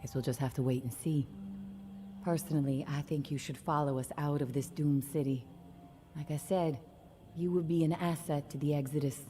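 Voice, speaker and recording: An actress playing a calm, guarded survivor of a zombie apocalypse in a video game, speaking in a measured, earnest way. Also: female voice, deep voice, a little hiss or hum, close to an everyday voice. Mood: wistful, earnest.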